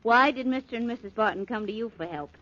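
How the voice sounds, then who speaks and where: A woman's voice: a little high, fast talker, fairly bright tone, lightly acted. A woman playing a playful, inquisitive detective's sidekick in a 1940s radio drama. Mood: curious, playful. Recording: muffled vintage 1940s radio recording.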